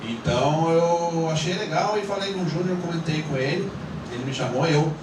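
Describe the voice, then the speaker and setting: A Brazilian man with a São Paulo countryside accent rambles casually to an audience about acting a role, filling his talk with many fillers. He speaks from a distance in a very echoey room over loud background noise. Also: fairly high pitch, moderate pace. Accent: Brazilian Portuguese, São Paulo countryside. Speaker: actor at a film screening.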